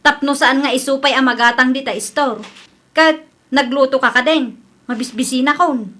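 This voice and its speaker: A woman's voice: sits high, steady tone, normal speed, sharp tone. A woman with an Ilocano accent playing a chatty, eager housemaid and wife in a comedy audio drama. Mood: matter-of-fact, nagging.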